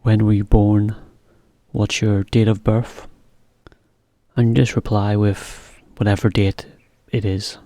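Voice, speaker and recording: A man teaching a beginner English lesson, explaining slowly in plain words with example sentences. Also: lowish voice, little pitch movement, mellow, no room sound.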